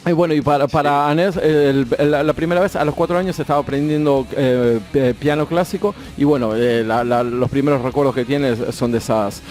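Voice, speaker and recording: A man with an Argentine accent interviewing a band and translating, halting and full of fillers, switching between Spanish and English. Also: a little high, steady tone, a little mellow. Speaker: radio co-host and interviewer. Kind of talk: bilingual interviewing with live translation.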